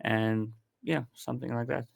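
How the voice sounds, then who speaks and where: Flat-toned man talking audio. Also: medium pitch, normal speed, vocal fry. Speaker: audio editor. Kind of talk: interview guest answers.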